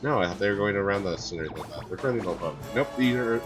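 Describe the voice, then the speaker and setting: A man with a hoarse voice from a cold, casually commenting on a video game and rambling with lots of fillers. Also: lowish voice, expressive, fast. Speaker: gameplay streamer. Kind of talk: casual let's-play commentary. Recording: gameplay with loud game music.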